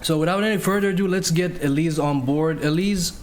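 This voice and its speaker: High-voiced man hosting a casual stream. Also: a little fast. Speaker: host of a music interview show.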